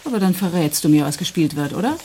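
A woman's voice: fairly low pitch, expressive, quick pace, mellow, a little hiss or hum, natural voice. An actress playing a weary, troubled woman in a radio drama, speaking in an intimate, brooding and subdued way. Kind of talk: intimate inner monologue and dialogue in radio drama.

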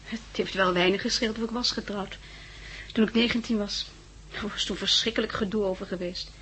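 An actress playing a poised, candid young society woman in a 1960s Dutch radio drama, soft and playful, with a Netherlands accent. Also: medium pitch, fairly even intonation, a little fast, slight background noise, close to an everyday voice, low-quality recording. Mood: reflective, candid. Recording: vintage 1960s radio recording.

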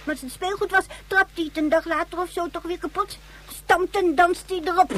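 Radio play role: hardened, spiteful young girl, extremely high voice, stubborn and taunting. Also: fairly even intonation, fairly quick, fairly bright tone. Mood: sullen.

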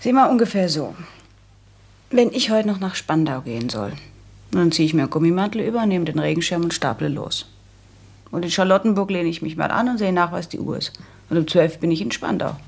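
A practical, bossy young woman in a literary radio drama. Her voice is husky with a creaky grain. She lays things out firmly and matter-of-factly, like someone used to giving orders. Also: fairly low pitch, animated, a little fast, darkish tone, full character voice. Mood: patient, explaining. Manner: bossy, matter-of-fact, instructive. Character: brisk, practical young daughter bossing her fiancé. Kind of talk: German literary radio play acting.

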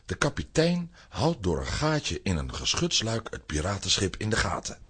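A man's voice: on the low side, huge pitch swings, normal speed, fairly bright tone, slightly rough. A man narrating a children's audio drama in a suspenseful, theatrical storytelling style.

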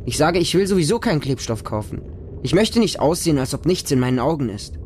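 A man's voice: a little high, very animated, quick pace, a little mellow, a little hiss or hum. A man playing a lonely, naive small homeless boy who narrates his life in a radio drama in short, plain sentences. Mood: subdued, wary.

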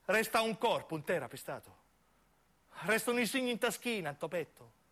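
A man's voice: sits high, animated, brisk, a bit bright. A man with a southern Italian accent performing a dramatic, impassioned theatrical monologue for radio, emphatic and repetitive. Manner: impassioned, emphatic, repeating phrases. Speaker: narrating actor.

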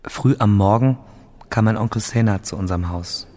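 Guy playing a quiet young refugee from a village, lowish voice, subdued, telling it plain in a radio drama. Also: normal speed, close to an everyday voice. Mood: subdued, recounting. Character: quiet young village man displaced by war. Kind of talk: radio play monologue.

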